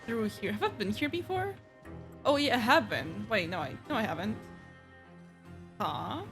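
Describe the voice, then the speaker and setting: A woman's voice: medium pitch, animated, normal speed. A woman game streamer giving casual, slangy live commentary and reacting as she plays. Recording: game music playing behind.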